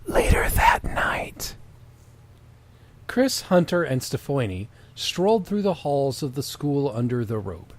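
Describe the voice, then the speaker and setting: A man's voice: mid-range voice, big pitch swings, slow pace. A man reading fan fiction aloud as a storyteller, with wry, profane casual asides.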